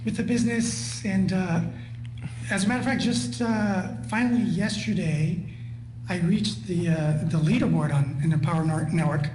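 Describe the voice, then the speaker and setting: A man from Guatemala talking casually and haltingly as a participant on a live webinar call. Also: sits high, steady tone, darkish tone. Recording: echoey room with faint hum.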